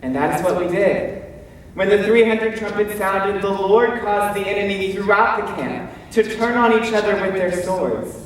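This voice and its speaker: Man acting high-voiced Gideon in an echoing hall. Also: lightly acted. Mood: rousing. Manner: theatrical, emphatic, with deliberate pauses and hesitant stammers. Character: Gideon, fearful, self-doubting biblical warrior telling his story. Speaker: stage actor. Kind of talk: dramatic stage monologue. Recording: distant mic in echoey hall, faint noise.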